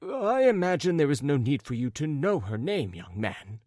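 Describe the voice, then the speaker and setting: Man playing a flustered, high-voiced gentleman, swooping and apologetic. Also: very animated, normal speed, no echo, clean studio sound. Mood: apologetic, nervous. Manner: agitated, wordy, stumbling and correcting himself. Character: flustered, apologetic middle-aged gentleman, muddled and rambling.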